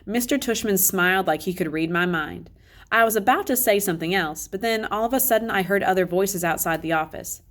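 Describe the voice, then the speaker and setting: A woman reading a children's novel aloud as an expressive, conversational first-person narration. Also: medium pitch, brisk. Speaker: read-aloud reader.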